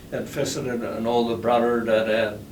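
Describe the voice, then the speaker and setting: An older man born in the Netherlands, with Dutch as his first language, recalling his past slowly with many pauses and fillers in an oral history interview. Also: medium pitch, little pitch movement, darkish tone. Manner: slow, halting, many fillers. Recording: roomy, distant, noisy recording.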